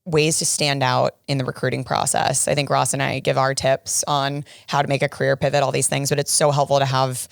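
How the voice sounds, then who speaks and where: Upbeat lady cohost, gravelly fry in her voice, real dry sound. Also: extremely low pitch, level tone, fairly quick, hardly any pauses, no echo. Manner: upbeat, enthusiastic. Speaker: podcast co-host. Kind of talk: casual podcast chat.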